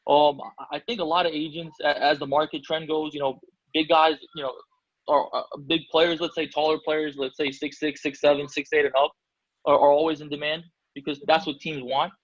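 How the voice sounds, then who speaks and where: A man's voice: on the high side, level tone, brisk, no room sound, muffled recording. A man from Albania, a basketball agent, answering interview questions casually with many fillers and restarts.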